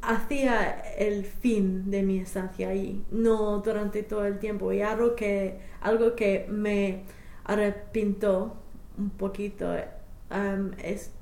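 A woman's voice: mid-range voice, little pitch movement, takes it slowly, a little mellow, echoey room, rough recording. A young woman, a native English speaker, answering interview questions as a language learner, hesitant with many fillers and restarts. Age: young adult.

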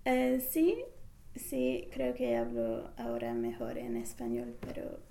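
A woman whose first language is English, answering interview questions slowly with many pauses and fillers as she searches for words. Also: extremely low pitch, wildly swooping pitch, gravelly, warm tone. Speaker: interviewee, language learner back from a year abroad. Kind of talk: halting, hesitant answers.

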